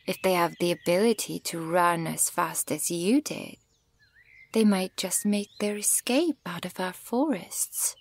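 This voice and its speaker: A woman voicing a centuries-old elven archer, stern and bitter toward humans, in a breathy, measured, dramatic ASMR roleplay delivery that often drops toward a whisper. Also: on the low side. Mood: scornful. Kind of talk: ASMR fantasy roleplay audio acting.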